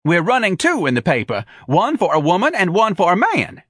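An audiobook office manager, as a male narrator puts him on, lifted well above a natural speaking pitch. He is effusive and anxiously apologetic, eager to please, and recorded very dry. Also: sing-song, normal speed, a bit bright, no room sound. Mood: enthusiastic. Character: dapper, eager, flustered middle-aged male office manager.

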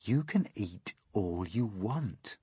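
A man's voice: lowish voice, animated, slow, dark tone, breathy edge, no room sound, clean studio sound, full character voice. A man voicing a kind, sensible young boy who reasons patiently and earnestly in a storybook.

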